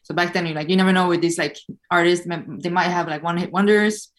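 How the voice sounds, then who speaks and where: A woman chats as a podcast guest in an easygoing, thoughtful way, leaning on fillers as she thinks aloud. Her voice sits on the lower side for a woman. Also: steady tone, fast. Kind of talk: casual interview chat.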